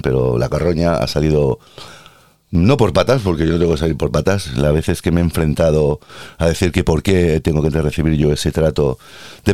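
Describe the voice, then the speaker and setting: A man with an Andalusian accent rants through a podcast monologue in a low voice. His pitch leaps up and down with sarcastic, theatrical flair. The sound is dry and close, with no room echo. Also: darkish tone. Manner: ranting, sarcastic, playfully theatrical. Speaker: podcast host and healthcare worker.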